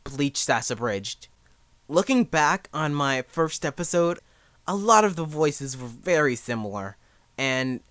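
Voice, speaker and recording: A man's voice: mid-range voice, fairly bright tone, no room sound. A young man giving a casual spoken tutorial in a rambling, jokey, self-deprecating way. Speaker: amateur voice actor giving beginner advice.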